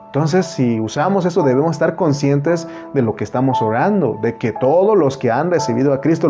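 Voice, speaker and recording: A man with a Mexican accent preaching a sermon, earnest and repetitive, often calling the listener brother. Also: medium pitch.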